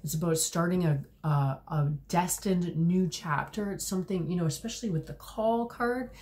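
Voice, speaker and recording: A woman in her forties giving a tarot reading to camera at home, slightly hoarse from a cold, talking gently with many fillers and restarts. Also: on the low side, normal speed. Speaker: tarot reader. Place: small room at home, indoors.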